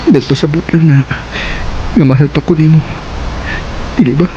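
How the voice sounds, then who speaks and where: A man's voice: a little high, moderate pace, lo-fi sound, background noise, lightly acted. A man acting an ardent ex-boyfriend in a Cebuano radio drama, with an earnest, coaxing manner. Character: Edon, pleading ex-boyfriend, ardent smooth-talking suitor.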